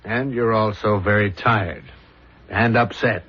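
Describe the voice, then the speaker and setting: An actor playing a calm, confident police detective in an old-fashioned radio drama, drily matter-of-fact and smoothly insistent. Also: male voice, lowish voice, slow. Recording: dull old radio broadcast recording.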